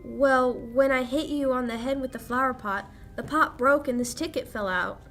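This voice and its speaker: A woman's voice: high-pitched, normal speed, a bit bright, soft background music. A young woman acting a detective's feisty, devoted sidekick in 1940s radio style, earnest and coy. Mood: matter-of-fact. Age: young adult.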